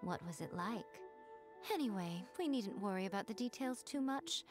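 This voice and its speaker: An actress playing a sly, teasing woman of high rank, languid and knowing as she speaks to a younger companion. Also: expressive, normal speed, music in the background, close to an everyday voice. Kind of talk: video game voice acting.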